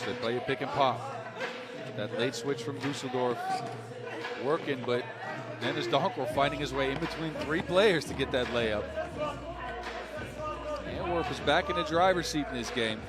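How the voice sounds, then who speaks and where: A man calls a basketball game in English. His voice sits in an ordinary middle pitch. His delivery is relaxed and chatty, and it rises when the big shots fall. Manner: conversational, excitable on big shots. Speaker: play-by-play commentator. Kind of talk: live basketball commentary.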